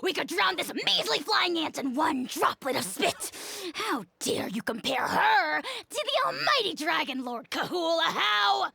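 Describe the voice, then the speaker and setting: A woman's voice: very high voice, big pitch swings, a little slow, vocal fry, few pauses, bright, forceful tone, heavily acted. A woman voicing a tiny, pompous dragon lord in a video game, theatrical and easily outraged, given to grandiose declarations and threats. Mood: outraged, threatening. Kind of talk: video game character acting.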